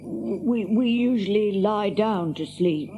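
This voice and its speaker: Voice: medium pitch, slow, lo-fi sound, a little hiss or hum. An actor playing a small, polite and willing young male halfling in an audio drama.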